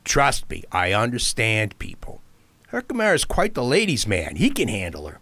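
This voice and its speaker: Man acting a smug, swooping low-voiced schemer. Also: huge pitch swings, normal speed, bright, forceful tone, no room sound, exaggerated voice. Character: smug, dismissive schemer, sure of himself. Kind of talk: science fiction radio drama acting.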